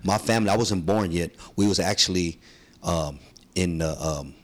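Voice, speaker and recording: A man's voice: low voice, moderate pace. A man, talking casually and earnestly as a podcast guest, with slang and repeated phrases for emphasis. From San Francisco, United States. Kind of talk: casual interview storytelling.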